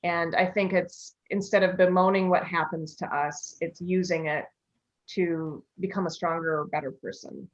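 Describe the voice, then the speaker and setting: A woman's voice: lowish voice, little pitch movement, normal speed. A woman giving a reflective interview answer as a guest author, in dull lo-fi audio. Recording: dull, lo-fi remote-sounding audio.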